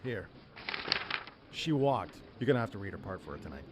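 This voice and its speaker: Artie, an animated, sheepish studio staffer. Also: male voice, fairly low pitch, brisk, noisy background. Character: Artie, chatty, flustered radio studio staffer. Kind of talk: radio comedy drama acting. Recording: crowd chatter behind.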